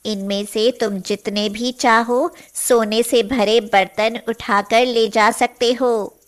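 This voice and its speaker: A woman plays a magical golden cow in an animated moral tale. She speaks in a fairly high voice and takes her time over every phrase. She sounds gentle, solemn and just, like one who hands out rewards. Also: takes it slowly. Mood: kind, generous. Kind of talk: animated moral story dialogue in Hindi.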